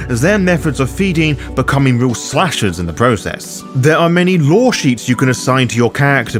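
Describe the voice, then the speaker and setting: A man hosting a game guide, reading scripted explainer narration with a wry, casual manner. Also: fairly high pitch. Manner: wry, casually sweary asides. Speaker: host of a roleplaying game guide.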